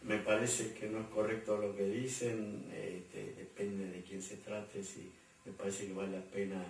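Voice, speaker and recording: Gravelly Argentine man, rambling answers. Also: fairly low pitch, little pitch movement, measured pace. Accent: Argentine Spanish. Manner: rambling, many fillers and repeated words. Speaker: interviewee. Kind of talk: conversational interview answers. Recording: echoey room, distant mic, faint hum.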